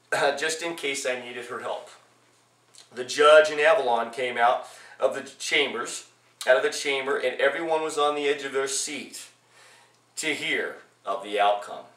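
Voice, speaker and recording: A man reading his own personal memoir aloud as its author, in a halting way with repeated phrases. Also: medium pitch, fairly bright tone. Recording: echoey room, distant from mic.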